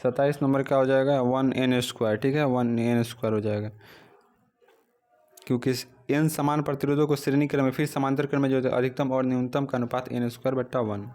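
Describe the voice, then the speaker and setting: A man at a medium pitch works through exam questions one after another. He reads each question, then gives the answer in a steady drilling rhythm. The sound comes from a phone recording made indoors. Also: fairly quick. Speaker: exam coaching teacher. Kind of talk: exam practice lesson.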